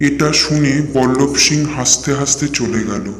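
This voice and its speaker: A man plays a village uncle in a cartoon horror tale, knowing and protective. His medium-pitched voice tells things gravely, echoing over music. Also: normal speed, close to an everyday voice. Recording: echoey, long reverb, music behind.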